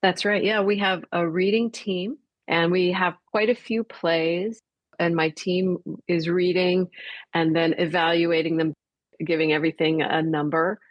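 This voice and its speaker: Low-pitched woman in a dry studio interview. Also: fairly even intonation, a little slow, no echo, lo-fi sound. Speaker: interview guest, theatre artistic director. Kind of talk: conversational radio interview.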